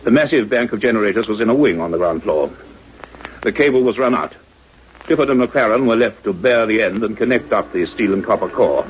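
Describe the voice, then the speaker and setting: Actor as earnest scientist narrator in dull, noisy recording. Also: male voice, lowish voice, lively intonation, close to an everyday voice. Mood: grave suspense. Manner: measured, literary, suspenseful delivery. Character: male scientist doctor, earnest, urgent, narrating his own adventure. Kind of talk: dramatic first-person radio play narration.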